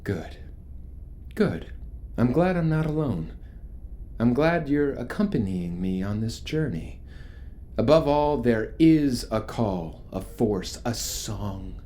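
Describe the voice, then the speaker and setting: An actor playing a charismatic, ominous cult leader in a horror audio drama, preaching grandiosely with rhetorical pauses. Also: male voice, medium pitch, animated, mellow. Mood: mystical, commanding. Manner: theatrical, grandiose, pausing for rhetorical effect.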